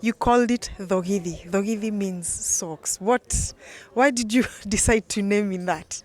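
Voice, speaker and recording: A woman with a Kenyan accent hosts for an online news channel. She presents and puts questions in an interviewer's style, at a mid-range pitch. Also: unhurried, a little mellow.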